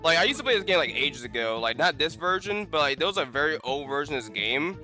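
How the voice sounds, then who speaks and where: Male gaming streamer, bright, slightly high voice, rambling casual commentary, game music behind. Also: big pitch swings, fast. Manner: rambling, many fillers and restarts.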